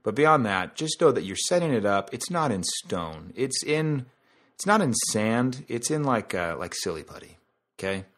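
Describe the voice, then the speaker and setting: A man's voice: medium pitch, sing-song, normal speed, fairly bright tone. A man hosting a podcast in a casual, conversational monologue, explaining things through analogies and asides. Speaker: podcast host.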